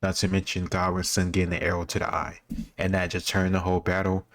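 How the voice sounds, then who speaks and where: A man's voice: sits low, completely flat delivery, fast talker, fairly bright tone. A man giving casual commentary on a history quiz, thinking aloud in an informal, rambling way. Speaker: host reacting to a history quiz video.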